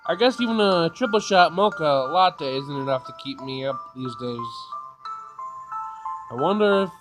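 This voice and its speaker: A man with a fairly high voice hosts a casual let's play over clearly audible game music. He reads the on-screen text aloud in an informal way, sometimes tripping over the words. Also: lively intonation, normal speed. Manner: informal, stumbles while reading aloud. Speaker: gaming video host.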